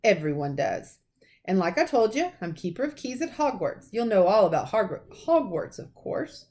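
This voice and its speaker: A woman's voice: mid-range voice, big pitch swings, normal speed, mellow, lightly acted. A woman voicing a big, rustic giant man, kindly but easily outraged, in thundering, folksy exclamations for a storybook. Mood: friendly.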